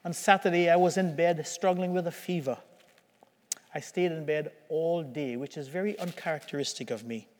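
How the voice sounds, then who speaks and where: A man preaching a homily as a priest, with a slightly raspy voice and a measured, emphatic delivery full of dramatic pauses. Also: fairly high pitch. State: slightly raspy voice from recent illness. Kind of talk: sermon. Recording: long hall reverberation.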